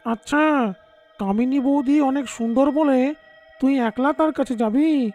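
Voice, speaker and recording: Woman as a high, drawling nosy villager man. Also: unhurried, dark tone, faint music behind. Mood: suspicious. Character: nosy, simple-minded male villager.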